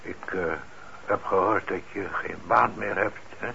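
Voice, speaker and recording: A gruff but kindly old shopkeeper in a radio play. His voice is lowish, very gravelly and forceful, and he takes his time with halting pauses. The recording is muffled and a little noisy. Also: steady tone, exaggerated voice. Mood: gentle, coaxing. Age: older. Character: kindly older shopkeeper, a gruff, forgiving father. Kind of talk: radio drama acting.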